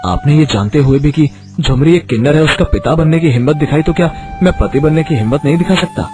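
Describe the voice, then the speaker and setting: A man's voice: on the high side, fast, close to an everyday voice. Man playing an earnest, lovestruck young villager. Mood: resolute. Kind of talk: Hindi moral story acting. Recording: music behind the voice.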